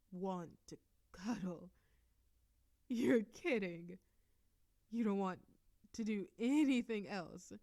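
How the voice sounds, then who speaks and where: A woman's voice: medium pitch, dark tone, dry recording, natural voice. A woman voicing a playful, seductive immortal succubus demon princess in an audio roleplay, teasing and giggly with a sultry drawl. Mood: incredulous. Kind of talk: scripted audio roleplay acting.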